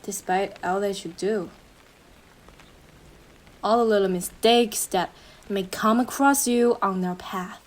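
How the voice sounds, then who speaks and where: A woman's voice: mid-range voice, close to an everyday voice, rough recording. A woman playing a young, obsessive yandere girlfriend in a roleplay monologue, syrupy and menacing, speaking intimately to her partner with a jealous intensity. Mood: possessive, adoring. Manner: slow, sugary endearments swinging into intense jealous outbursts. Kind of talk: dramatic roleplay monologue addressed to the listener.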